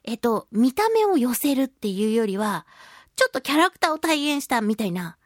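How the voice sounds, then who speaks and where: A woman talks as a radio host in a casual, expressive monologue. Her voice is high and swings widely in pitch as she recounts things. The sound is dry, with little room in it. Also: fairly quick, dry recording. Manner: animated, expressive, acts out reactions and quotes. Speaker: radio show host, voice actress. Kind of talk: casual monologue talk, recounting a film outing.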